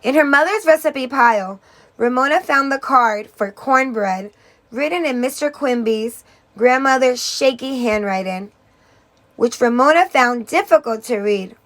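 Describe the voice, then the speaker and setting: A woman teacher reading a children's story aloud in a deliberate, expressive storytelling manner. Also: high-pitched.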